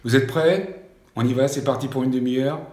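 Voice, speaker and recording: Man in his fifties, exercise coach, gentle reassuring manner, hesitant fillers, distant from the mic, strongly echoing room. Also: medium pitch, fairly even intonation, moderate pace, heavy background noise. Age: 50s. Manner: gentle, reassuring, repeats advice not to strain, frequent hesitations. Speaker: life coach leading a strength workout. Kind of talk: exercise instruction video. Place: room at home with an exercise mat. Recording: very echoey room, voice far from mic.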